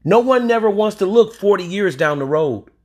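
A man's voice: high-pitched, lively intonation, moderate pace, warm tone. A man in his forties hosting a solo podcast, talking in an emphatic, opinionated way with occasional swearing. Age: 40s. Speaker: podcast host.

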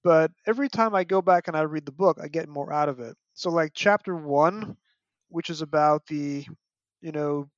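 Higher-voiced man, opinionated storyteller. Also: normal speed, dry recording. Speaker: podcast guest, fan and parent. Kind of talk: casual book discussion. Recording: dull, dry lo-fi audio.

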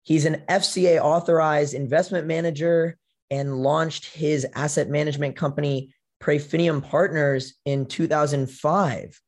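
A young American man hosting a podcast and interviewing in a casual way, his voice a little hoarse. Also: fairly high pitch, steady tone, normal speed. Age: young adult. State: slightly hoarse. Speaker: podcast and college radio host. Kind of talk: casual show presenting and interviewing.

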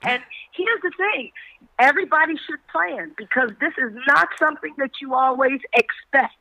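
A woman's voice: high voice, moderate pace, sharp tone, dry recording, poor audio. A woman chatting casually as a guest on a radio show.

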